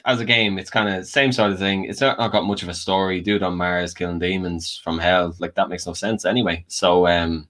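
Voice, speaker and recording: A man's voice: low-pitched, fairly even intonation, brisk, hardly any pauses, a bit bright. A man around thirty giving rambling, hedged opinions as a guest in a casual panel chat.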